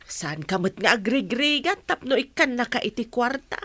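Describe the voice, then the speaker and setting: A woman's voice: fairly high pitch, lively intonation, moderate pace, a bit bright, no room sound. An actress playing a caring, principled village mother with a grown son in a radio drama. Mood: chiding. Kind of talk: Philippine radio drama acting.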